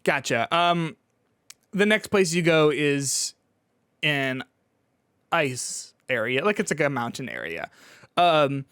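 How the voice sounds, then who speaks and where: A man co-hosting a podcast, chatting casually about video games in a halting way, often restarting his thoughts. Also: a little high, animated, a bit bright, clean tone, no echo, clean studio sound. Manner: halting, filler-heavy, starts and restarts thoughts. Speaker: podcast co-host and games reviewer. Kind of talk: casual video game chat.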